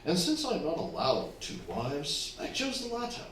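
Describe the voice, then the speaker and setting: A man's voice: a little high, huge pitch swings, moderate pace, a bit bright, distant mic. An actor playing a wealthy, self-satisfied, genteel husband in his fifties in a staged radio drama. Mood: pompous, self-satisfied. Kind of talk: staged old-fashioned radio drama acting. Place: theatre hall, distant microphone. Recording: echoey stage recording from afar, faint noise.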